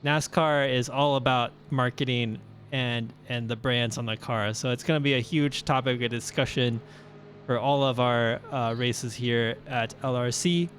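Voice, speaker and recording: A man's voice: medium pitch, steady tone, a little slow, fairly bright tone, slight background noise. A man from Brazil whose first language was Portuguese, co-hosting a racing live stream in casual chat. He talks loosely with many "like" fillers and reads viewer comments aloud. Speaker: race stream co-host.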